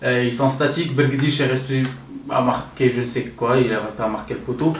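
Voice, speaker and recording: A man giving an informal, halting football match analysis on his own, often stopping to correct himself. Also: medium pitch, fairly even intonation, a little hiss or hum. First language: French-speaking, not an Arabic speaker. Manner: hesitant, halting, self-correcting delivery. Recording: roomy echo, distant microphone.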